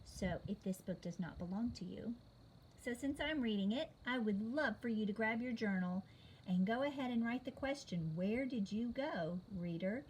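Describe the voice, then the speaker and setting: A woman's voice: a little high, animated, normal speed, a little hiss or hum, rough recording. A woman reading a storybook aloud and addressing young listeners directly. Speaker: storybook reader. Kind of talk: read-aloud narration addressed to children.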